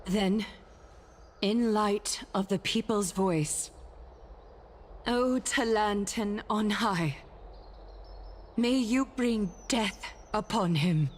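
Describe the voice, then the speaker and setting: A woman playing a solemn, authoritative magistrate in a video game, speaking in a formal, measured, declamatory way. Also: medium pitch, slight background noise. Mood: grave. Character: solemn, authoritative female magistrate presiding over public judgment. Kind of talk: scripted video game voice acting. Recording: background music under the voice.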